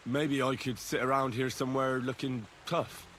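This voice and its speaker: An actor playing a young drifter looking for work, pushy and sarcastic. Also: male voice, medium pitch, little pitch movement, moderate pace, a bit bright, slight background noise, lightly acted.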